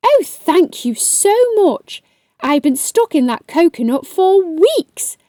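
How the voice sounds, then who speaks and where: Woman doing a high-pitched, grateful little octopus. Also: big pitch swings, takes it slowly, dark tone, dry recording, clean studio sound, heavily acted. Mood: relieved, grateful. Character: grateful tiny octopus freed from a coconut.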